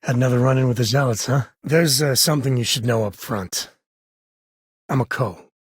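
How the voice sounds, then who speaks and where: An actor playing a rugged, wry frontier space explorer in a video game, with a husky voice and folksy, conversational delivery. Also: male voice, mid-range voice, moderate pace, no room sound, clean studio sound, natural voice. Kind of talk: video game character dialogue.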